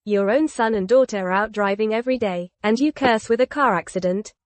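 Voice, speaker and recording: A woman playing a sharp-tongued, judgmental sister-in-law in a dubbed melodramatic audio drama, lecturing the family. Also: mid-range voice, a little fast, dry recording, natural voice. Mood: reproachful. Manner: scolding, lecturing.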